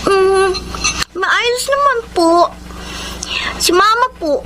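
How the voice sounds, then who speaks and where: A woman's voice: very high voice, animated, drawn-out words, noisy background, exaggerated voice. A woman playing a dutiful, caring young Filipino daughter in a radio drama, polite, earnest and plaintive. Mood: shy, affectionate. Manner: respectful, earnest.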